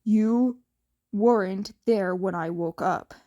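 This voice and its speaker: A woman voicing a tired, gruff male mentor with a curt, weary manner in a fan fiction reading. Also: mid-range voice, slow pace, dark tone, voice pushed down, close to an everyday voice. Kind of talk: fan fiction character acting.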